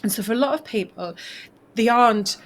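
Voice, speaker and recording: A woman's voice: fairly high pitch, fairly quick. A woman from northeast England with a Northeast English accent, a health coach guest speaking earnestly and thoughtfully on a video call, often saying "you know". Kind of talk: casual video call interview. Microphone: video call mic.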